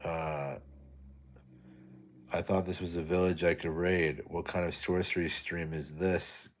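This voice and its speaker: Young guy streaming late, super deep soft voice, tired and laid back. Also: steady tone, unhurried. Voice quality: soft-spoken. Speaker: live stream host. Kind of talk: casual live stream chat reading viewer comments.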